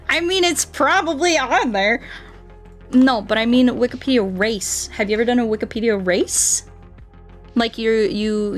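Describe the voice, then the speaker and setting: A woman livestreaming a game, chatting casually in a goofy, playful, rambling way. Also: medium pitch. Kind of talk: casual livestream chat while playing.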